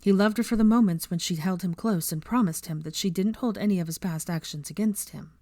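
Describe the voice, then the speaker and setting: A woman's voice: on the low side, brisk, warm tone, no echo, studio quality. A woman reading fiction aloud as an audiobook narrator, delivering scripted story narration.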